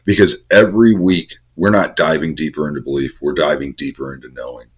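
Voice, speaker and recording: An older man with a head cold, hosting a Bible study and teaching in measured, incantatory short phrases. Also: rumbling low, steady tone, slightly rough. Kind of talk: spiritual teaching monologue. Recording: dull lo-fi recording.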